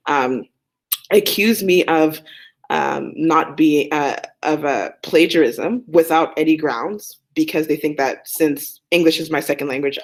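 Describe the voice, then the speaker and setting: Earnest young woman, French native. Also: sits low. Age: young adult. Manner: earnest, hesitant with many fillers. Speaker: student speaker. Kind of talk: open mic talk.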